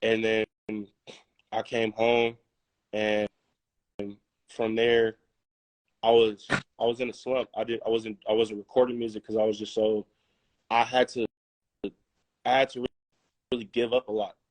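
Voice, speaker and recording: Halting, stammering man on a dry mic. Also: lowish voice, little pitch movement, no room sound, poor audio. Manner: halting, many restarts and fillers. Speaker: musician guest. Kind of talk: casual interview talk.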